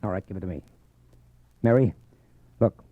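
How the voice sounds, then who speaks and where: An actor playing a sullen, tense killer on the run in a 1940s radio suspense drama, with a curt, commanding manner. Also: male voice, deep voice, normal speed, narrow, tinny audio. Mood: urgent. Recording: faintly noisy 1940s radio disc.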